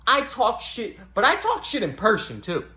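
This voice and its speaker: A young man with a Boston accent delivers a loose, profane stand-up bit, pitched noticeably high for a man. He talks like a streetwise buddy, circling back to his catchphrases. The sound bounces around an echoey room. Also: huge pitch swings, moderate pace, bright, forceful tone. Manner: profane, streetwise, repeats "you know what I'm saying". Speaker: comedy channel host. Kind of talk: casual stand-up monologue to camera.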